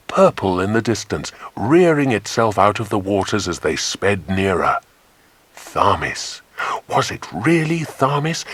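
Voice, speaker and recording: A man narrating a children's adventure story in an expressive, scripted audiobook style. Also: lowish voice, husky.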